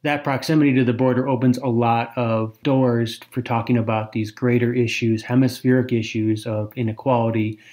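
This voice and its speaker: A man's voice: mid-range voice, little pitch movement, normal speed, hardly any pauses, darkish tone. A man with a mid-range voice co-hosts a podcast. He explains his points fluently in a conversational tone, dropping in the occasional filler. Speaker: podcast co-host.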